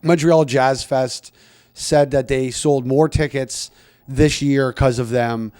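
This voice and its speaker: A man's voice: mid-range voice, steady tone, slow pace, darkish tone, clean tone, no room sound, clean studio sound. A man hosting a casual comedy chat, riffing and swearing, rambling with restarts. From Canada. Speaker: podcast host.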